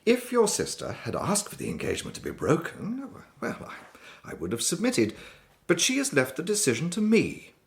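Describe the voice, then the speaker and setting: Period drama role: a smooth English baronet, gallant, unyielding, very animated intonation, gravelly creak. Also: male voice, a little high, moderate pace, heavily acted. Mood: gallant, insistent. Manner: hesitant, polished, gallant. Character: smooth, courteous Victorian baronet suitor, quietly unyielding. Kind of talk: period radio drama acting.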